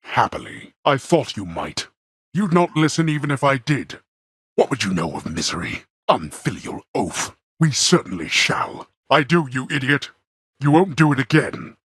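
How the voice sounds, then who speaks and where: A man's voice: big pitch swings, normal speed, a bit bright, no echo. An actor playing a stern, proud god of the dead who scorns his son, speaking with cold, imperious contempt in video game voice lines. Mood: contemptuous. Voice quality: deep, raspy, gravelly. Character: stern proud male god of the dead, a harsh disapproving father. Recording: dry close studio recording.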